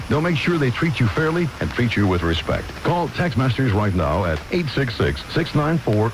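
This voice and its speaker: A man's voice: medium pitch, noisy background. An American man announcing scripted radio promos and commercials. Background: music.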